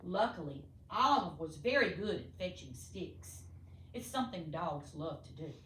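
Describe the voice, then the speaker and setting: A woman reading a children's storybook aloud expressively, with theatrical, deliberate emphasis for young listeners. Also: lowish voice, animated, fairly bright tone. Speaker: storybook reader. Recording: echoey room, distant mic, faint noise.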